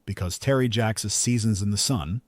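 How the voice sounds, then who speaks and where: A man's voice: on the low side, normal speed, darkish tone, no echo. A man narrating a scripted countdown in a polished, earnest delivery, as the narrator.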